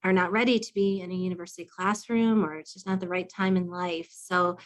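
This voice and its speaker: A woman hosting a podcast interview, talking in a warm, enthusiastic, conversational way. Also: fairly low pitch, fairly even intonation, fairly quick. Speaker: podcast host.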